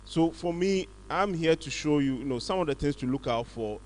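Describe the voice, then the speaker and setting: A man's voice: on the high side, lively intonation, normal speed, a little mellow. A man speaking casually at a live event, often repeating "right?" and "you know.".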